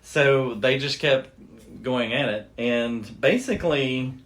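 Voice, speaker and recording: A man's voice: medium pitch, takes it slowly, fairly bright tone. A man hosting a podcast, telling a story in a casual, informal and loosely phrased way. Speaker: podcast host.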